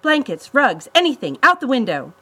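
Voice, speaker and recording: A woman voicing a bold, quick-thinking young girl in a storybook, earnest and assertive. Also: mid-range voice, animated, lightly acted. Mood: urgent, commanding.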